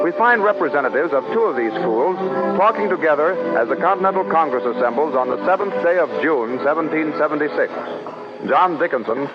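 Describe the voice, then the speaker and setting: A man giving scripted narration for an old-time radio drama. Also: medium pitch. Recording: dull old radio recording, loud music behind.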